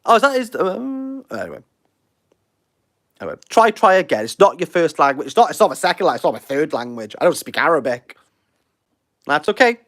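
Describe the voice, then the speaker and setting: A man's voice: fairly high pitch, very animated, brisk, pauses often, a bit bright. A Welsh man in his thirties hosting a casual livestream, rambling and self-deprecating as he answers viewer comments. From Wales. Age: 30s. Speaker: livestream host.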